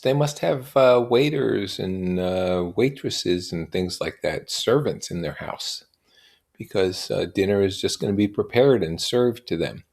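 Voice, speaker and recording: A man reading a novel aloud and adding informal explanatory comments in a deliberate manner. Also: low-pitched, darkish tone. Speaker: reader and commentator.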